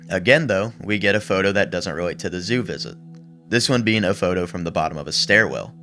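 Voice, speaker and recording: A man narrating scripted horror commentary in a measured, explanatory way, with some casual asides. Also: low-pitched, a bit bright. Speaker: video essayist and narrator. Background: background music under the voice-over.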